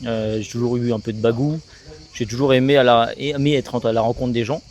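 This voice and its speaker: Fast-talking man from Alsace. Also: medium pitch, steady tone, darkish tone. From Alsace, France. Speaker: sales agency co-founder being interviewed. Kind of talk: casual interview.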